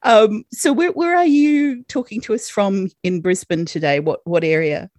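A woman's voice: medium pitch, darkish tone, no echo. A woman hosting a podcast interview, keeping the talk going with friendly, short questions. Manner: friendly, quick to laugh along, short prompts. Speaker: podcast host.

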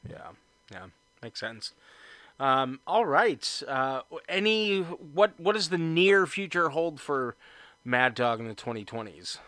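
A man hosting a podcast, asking relaxed questions and giving short, upbeat affirmations. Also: fairly high pitch, takes it slowly, no room sound. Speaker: podcast host. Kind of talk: casual interview chat.

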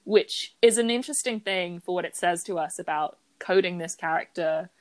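Low-voiced woman analysing a play. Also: moderate pace, no room sound. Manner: analytical, hedging with "sort of" and "like". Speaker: podcast co-host. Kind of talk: casual chat about theatre.

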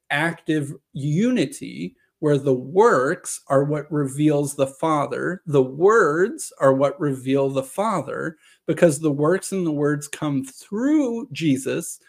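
A slightly hoarse man giving a measured lecture, reading scripture passages aloud and explaining them. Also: on the high side. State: slightly hoarse from long talking. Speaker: video presenter on scripture. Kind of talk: lecture-style reading and commentary.

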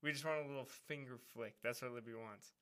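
A young man from Wisconsin co-hosts a laid-back podcast. His voice is bright and sharp at a middling pitch, and he jokes, swears and keeps pulling the talk back on topic. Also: fairly even intonation, fast talker. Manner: joking, profane, steers topic. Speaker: podcast co-host. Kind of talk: casual chat.

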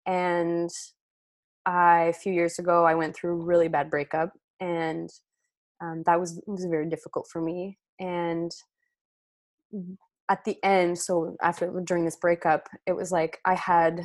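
A woman's voice: on the low side, flat delivery, a little slow, dry recording. A French Canadian woman whose first language is French, chatting as a podcast guest with many fillers and restarts. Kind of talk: casual podcast chat.

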